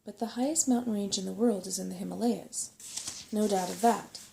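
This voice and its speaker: A woman's voice: mid-range voice, fairly quick, creaky, dark tone, slight background noise. A woman voicing a self-satisfied old male rat, a chatty and cautious know-it-all, in a bedtime story. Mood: knowing, curious.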